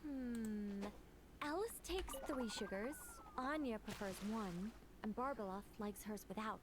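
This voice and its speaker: A woman's voice: a little high, lively intonation, a little slow, noisy background. A woman playing a self-possessed, teasing female mage in a video game, with a theatrical, wry and grandiose manner. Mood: musing. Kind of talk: video game character acting.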